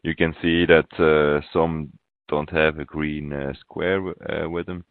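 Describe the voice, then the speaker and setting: Phone-like audio, male software trainer, extremely low voice, halting step-by-step webinar walkthrough. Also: level tone, smooth, dry recording. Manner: halting, pausing mid-phrase. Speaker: software consultant presenting a webinar demo.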